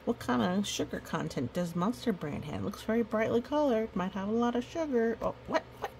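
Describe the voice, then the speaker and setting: A woman's voice: fairly high pitch, lively intonation, brisk, creaky, darkish tone, a little hiss or hum. A woman reading a picture book aloud with playful, chatty asides to the characters and listeners. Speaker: storytime reader.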